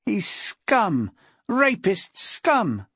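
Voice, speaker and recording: A woman voicing a menacing, obsessive, jealous husband in an audiobook, crooning and hissing with drawn-out words. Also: mid-range voice, wildly swooping pitch, fairly bright tone, husky, dry recording, clean studio sound, pitched above the performer's own voice, exaggerated voice. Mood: jealous contempt.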